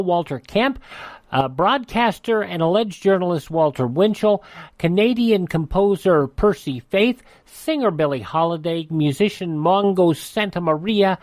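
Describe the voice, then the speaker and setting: A man's voice: a little high, no echo. An older American man hosting radio, reading history items in a narrating style with chatty personal asides. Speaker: radio host. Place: radio studio.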